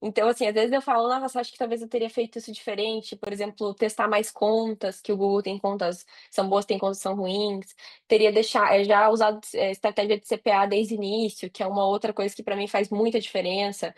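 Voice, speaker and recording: A woman's voice: mid-range voice, level tone, fast. A Brazilian woman chatting casually as an interview guest, informally thinking her answers through aloud. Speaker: podcast guest, digital marketer.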